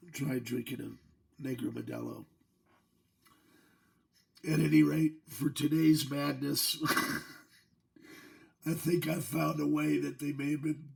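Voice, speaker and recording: Hoarse, gravelly-voiced man, slow and joking. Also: on the high side. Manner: joking, chuckling between remarks. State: hoarse, losing his voice from a virus. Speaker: channel host. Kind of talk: casual demo vlog.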